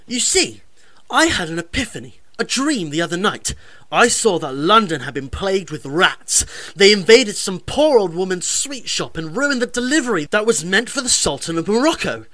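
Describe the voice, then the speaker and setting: A woman's voice: mid-range voice, very animated, normal speed, fairly bright tone, no room sound. A woman playing an earnest, plucky young male hero in a radio pantomime.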